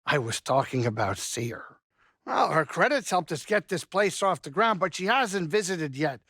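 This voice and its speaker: A man voicing a gruff but caring small alien saloon keeper in a video game, with a blustery, folksy, wisecracking delivery. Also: a little high, a bit bright, no room sound, studio quality, full character voice. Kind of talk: video game character dialogue.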